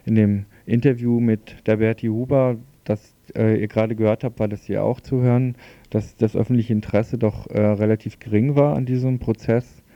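A man's voice: fairly low pitch, fairly even intonation, normal speed, warm tone, no echo. A man hosting a radio programme, speaking in long sentences as he interviews and moderates. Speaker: radio host. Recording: slightly dull lo-fi radio recording.

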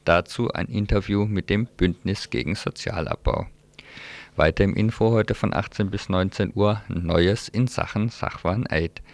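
A male radio presenter with a very deep voice and a lot of fry in it. He delivers announcements and programme previews in a loose, semi-improvised style. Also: measured pace. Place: radio studio.

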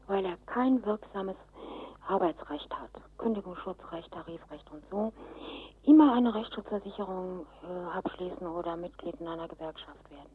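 A woman's voice: medium pitch, a little hiss or hum. A woman speaking as an interviewed spokesperson, explaining spontaneously and emphatically, often repeating her points. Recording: narrow, phone-like interview recording.

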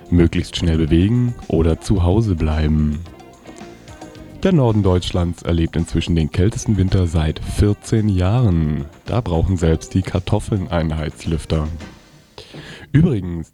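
Deep, mellow man presenting radio weather. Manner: wry, tongue-in-cheek wording. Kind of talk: scripted, playful weather report. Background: faint music.

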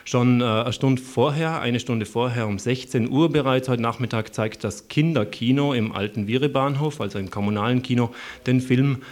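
A man's voice: medium pitch, normal speed. A man presenting live event listings on community radio, informally and with chatty asides. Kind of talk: live community radio announcements, part improvised.

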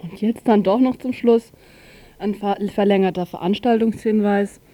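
A woman's voice: mid-range voice, normal speed, warm tone, no echo. A woman presenting a radio news overview in an informal way, stumbling now and then over announcements.

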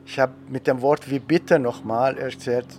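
A man's voice: medium pitch, steady tone, normal speed, darkish tone, a little hiss or hum. A man, an education trainer, reflectively telling a personal story in an interview, with frequent fillers and restarts.

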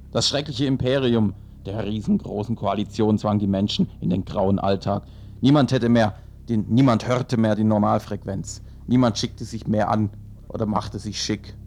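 A man's voice: on the low side, a little mellow, slight background noise. A man narrating a radio parody as earnest, pseudo-dramatic storytelling.

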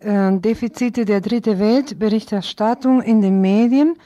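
Female radio presenter, unhurried pace, soft mellow tone, event listings. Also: medium pitch, dry recording.

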